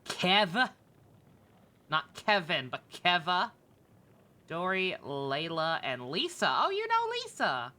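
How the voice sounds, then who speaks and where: Lively, bright-voiced game streamer chatting. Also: medium pitch. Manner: rambling, quick to laugh, jokey. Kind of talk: casual livestream commentary over a game. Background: video game music.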